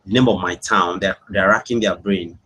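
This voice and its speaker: Man, Nigerian accent, fairly deep pitch, rough husky tone, informal webinar host, repetitive phrasing. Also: steady tone, moderate pace, pushed and bright. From Imo State, Nigeria. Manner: repeats phrases over and over. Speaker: webinar host, internet marketing coach. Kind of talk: casual live chat with online audience, reading out answers.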